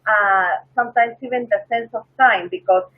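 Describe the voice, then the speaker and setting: A woman's voice: on the high side, moderate pace, narrow, tinny audio. A woman from Peru, a guest in an online interview, telling stories in a chatty way with many fillers. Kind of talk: interview over a video call.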